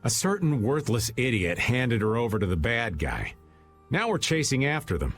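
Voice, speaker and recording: Game role: jaded, flippant rogue, very varied inflection, light music. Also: male voice, mid-range voice, big pitch swings, normal speed. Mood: bitter. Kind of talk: game cutscene voice acting.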